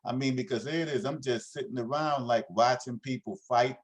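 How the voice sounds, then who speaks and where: A man's voice: medium pitch, a little fast. A man explaining ideas about nonviolence in an earnest, conversational way, speeding up when he presses a point. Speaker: nonviolence trainer. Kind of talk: explanatory talk.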